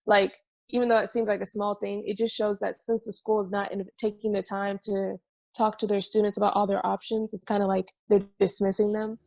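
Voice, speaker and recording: A woman's voice: mid-range voice, little pitch movement, fairly quick, vocal fry, a little mellow, no echo. A nineteen-year-old woman, a university student, answering interview questions in a hesitant way with many fillers and restarts.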